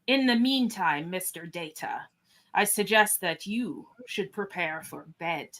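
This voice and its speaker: A woman playing a composed, commanding starship captain in a live radio-theatre script reading, deliberate and authoritative. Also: lowish voice, expressive, a bit bright. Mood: measured, commanding.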